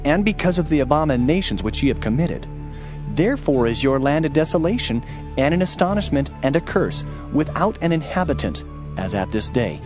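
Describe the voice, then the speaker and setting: A male actor plays a stern prophet with a fairly high, very animated voice. He declaims divine judgment solemnly in archaic scriptural language, with music behind him in an audio Bible drama.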